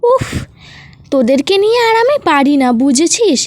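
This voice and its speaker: A woman voicing a hot-tempered village mother in a cartoon, scolding in a sharp manner. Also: high-pitched, sing-song, normal speed, background noise. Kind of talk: cartoon voice acting.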